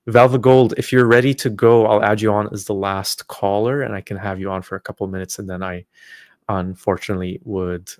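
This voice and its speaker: Low-voiced man hosting a call-in stream. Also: no room sound, studio quality. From Palestine. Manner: friendly, hesitant, many fillers and restarts. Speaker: host of a live call-in stream. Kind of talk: casual live-stream chat with callers.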